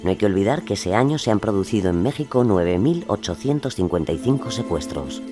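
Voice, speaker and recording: A man narrating a scripted documentary in a measured, expository way. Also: fairly low pitch, animated, music in the background.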